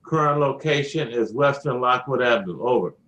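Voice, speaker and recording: Man, mid-range pitch, trainee radio operator, formal procedural calls, clipped phrases, falling sign-offs. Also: animated, brisk, a bit bright, low-quality recording.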